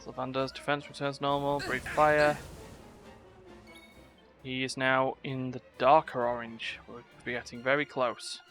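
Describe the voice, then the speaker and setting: A man's voice: mid-range voice, little pitch movement, a little slow, a bit bright. A man giving casual live gameplay commentary as a streamer, with offhand, wry asides. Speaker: game streamer. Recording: faint game music behind.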